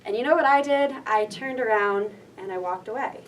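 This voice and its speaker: A woman's voice: a little high, normal speed. A young woman from Rhode Island telling an earnest personal story on stage. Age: young adult. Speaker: student storyteller. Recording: distant mic with faint noise.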